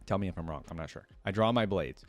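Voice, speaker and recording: A man hosting a reaction video, reading a story aloud and adding casual, expressive comments of his own. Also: low-pitched, quick pace, faint music behind.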